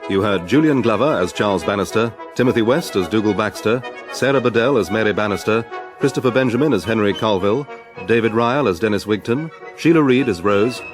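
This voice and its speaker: A man's voice: on the low side, fairly quick. A man reading a scripted cast and credits announcement for radio. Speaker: continuity announcer. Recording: brass theme music behind voice.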